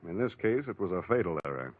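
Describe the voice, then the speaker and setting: An actor playing a matter-of-fact homicide lieutenant in a 1940s American radio crime drama, with clipped, slangy tough-cop talk and an American accent. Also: male voice, low-pitched, big pitch swings, fast talker, breathy edge, no room sound, exaggerated voice. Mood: explaining, puzzled. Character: beleaguered, matter-of-fact police homicide lieutenant briefing reporters. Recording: thin, phone-like old radio recording.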